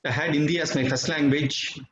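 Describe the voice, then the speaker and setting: Indian guy, mother tongue tamil, calm measured testimony, dull lo-fi sound w faint hiss. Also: on the high side. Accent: Indian English. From Bangalore, India. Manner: reflective, measured, with pauses. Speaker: devotee sharing experiences. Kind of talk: personal testimony talk. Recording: dull, slightly roomy recording with faint noise.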